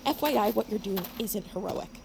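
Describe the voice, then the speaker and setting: A woman's voice: medium pitch, expressive, moderate pace, vocal fry, darkish tone, slight background noise. A young woman playing an exasperated, accusing but loyal girl in an audio drama, indignant and dramatic. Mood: indignant, hurt.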